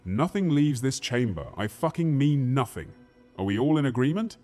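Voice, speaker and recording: A man's voice: medium pitch, big pitch swings, normal speed, dark tone, soft background music, close to an everyday voice. A man playing a coarse, battle-weary castle warrior, blunt and dramatic, in a fantasy audio drama. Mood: angry, blunt.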